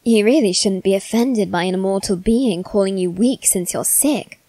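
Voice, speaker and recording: A woman voicing a condescending immortal demon boy in an ASMR roleplay, speaking softly with a teasing, smug manner. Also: medium pitch, normal speed, dry recording, close to an everyday voice. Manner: soft-spoken, teasing and condescending.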